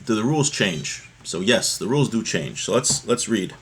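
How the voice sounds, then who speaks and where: A man's voice: on the low side, animated, normal speed, slight background noise. A man giving an informal class as a religious teacher, reading from a text and explaining it conversationally, restating points in plain everyday terms. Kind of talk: informal text study, reading aloud and explaining to a livestream audience.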